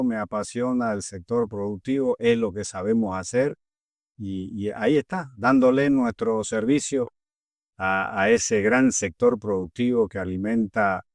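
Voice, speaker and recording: A middle-aged man with a Bolivian Spanish accent, a guest in an interview, who answers in a measured, earnest way with pauses and fillers. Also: lowish voice, dry recording. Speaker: agricultural leader, guest.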